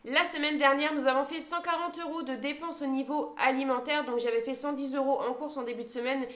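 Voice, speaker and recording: Woman, 30s, slightly high voice, casual vlog chat, lots of "euh", roomy sound. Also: fairly even intonation, brisk, a bit bright. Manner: chatty, lots of hesitation fillers, lists items one by one. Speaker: vlogger showing her grocery haul. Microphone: phone camera.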